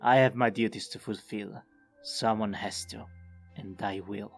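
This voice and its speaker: A man's voice: fairly low pitch, fairly even intonation, unhurried, vocal fry, faint music behind, pitched below the performer's own voice. A man voicing a solemn, dutiful god of lost souls, grave and resigned, for a fan dub. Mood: grave resolve.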